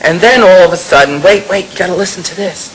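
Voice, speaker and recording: An elderly woman in her eighties recounting childhood memories in a halting, repetitive way. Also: fairly low pitch, rough recording. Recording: faintly hissy interview recording.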